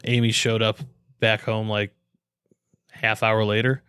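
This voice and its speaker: A man's voice: on the low side, level tone, unhurried, fairly bright tone, clear, resonant tone, no room sound, clean studio sound. An American man chatting casually as a podcast co-host, with an informal, laid-back manner. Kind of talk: casual chat.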